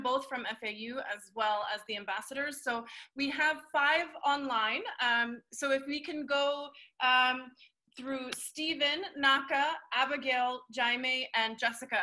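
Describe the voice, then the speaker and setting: Woman hosting an online panel, high bright voice. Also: rough recording. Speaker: moderator. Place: indoor room at home. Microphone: laptop on video call.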